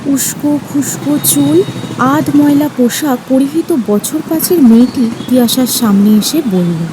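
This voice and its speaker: High-voiced young woman narrating a story. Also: measured pace. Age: young adult. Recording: noisy street ambience behind.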